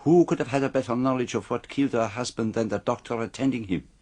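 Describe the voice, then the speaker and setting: A man's voice: mid-range voice, fast talker, a little mellow, lightly acted. An actor playing a shrewd, courteous older detective in a radio drama, speaking precisely and with formal politeness as he accuses. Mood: accusing, firm.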